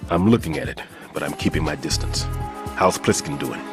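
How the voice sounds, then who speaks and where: An actor playing a methodical older male bomb-disposal expert in a video game cutscene, measured and precise. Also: rumbling low, animated. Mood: calm, focused. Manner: measured, turns to urgent shouting. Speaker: explosives expert on the radio. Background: game score music.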